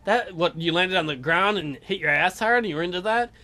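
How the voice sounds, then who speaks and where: A man's voice: high voice, brisk, pushed and bright. A man hosting a let's play, mixing sarcastic, mocking asides with read-aloud narration of a visual novel.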